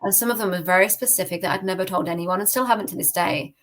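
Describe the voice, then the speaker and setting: A woman's voice: medium pitch, quick pace, fairly bright tone. A woman from the UK with a British accent, telling a personal story casually as a podcast guest. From United Kingdom. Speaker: podcast guest giving testimony.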